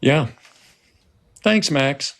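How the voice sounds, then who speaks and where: A man's voice: a little high, animated, drawn-out words, gravelly, a bit bright. A man hosting a podcast, asking questions in a conversational interview style. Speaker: podcast host.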